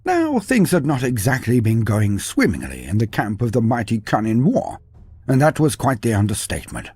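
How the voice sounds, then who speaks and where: A man's voice: mid-range voice, wildly swooping pitch, moderate pace, a little mellow, husky. A man delivering dramatic scripted fantasy narration in a grandiose, wry manner with ornate old-fashioned phrasing. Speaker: storyteller, narrator of fantasy war lore.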